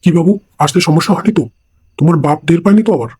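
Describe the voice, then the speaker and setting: A man playing a lecherous, foul-mouthed older father in a comic cartoon, talking in a crude, hurried way. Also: on the high side, lively intonation, a little mellow, breathy edge. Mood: eager. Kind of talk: comic cartoon dialogue in colloquial Bengali. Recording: music behind the voice.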